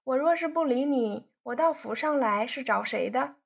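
A woman voicing a proud young woman who pouts and answers her suitor with sharp retorts. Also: sits high, lively intonation, normal speed, vocal fry, slightly rough, pitched above the performer's own voice, full character voice. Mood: sulky.

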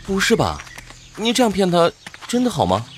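A woman's voice: mid-range voice, wildly swooping pitch, moderate pace, a little hiss or hum. A woman playing a carefree, playful young male adventurer in an audio drama, teasing and exclamatory. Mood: doubtful. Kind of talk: Chinese audio drama acting.

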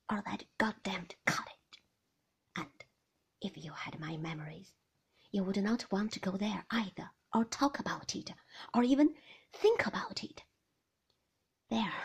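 A woman's voice: medium pitch, vocal fry, husky, voice pushed down, exaggerated voice. A woman reading the lines of a brooding, curt upper-class man in a bitter, clipped manner. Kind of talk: audiobook reading.

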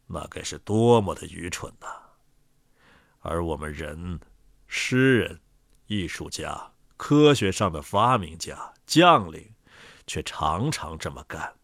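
A man's voice: low-pitched, lively intonation, takes it slowly, vocal fry, a bit bright, dry recording, studio quality. A man narrating a fairy tale in a solemn, reflective, scripted style. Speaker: storyteller.

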